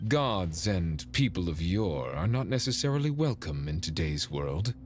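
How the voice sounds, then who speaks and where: A man's voice: sits low, very animated, measured pace, soft background music. A man playing a dry, teasing ancient being in a video game, with formal wording.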